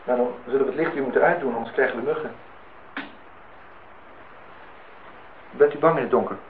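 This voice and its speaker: An actor plays a wry, probing man in a radio drama, with a medium-pitched voice. He is dry and teasing, heard through a dull old recording with faint noise. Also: very fast, close to an everyday voice. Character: wry, probing man questioning a young woman. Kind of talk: Dutch radio play acting.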